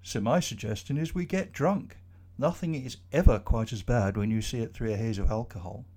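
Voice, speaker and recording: A man voicing a sad, weary middle-aged boss who talks calmly and reasonably in a storybook reading. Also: mid-range voice, normal speed, close to an everyday voice.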